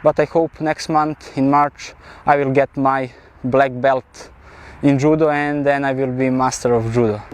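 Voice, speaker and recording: A man in his twenties from Serbia with a Serbian accent, speaking halting, simple non-native English in a casual talk to camera. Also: mid-range voice, little pitch movement, slight background noise. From central Serbia. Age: 20s. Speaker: personal trainer and vlog subject.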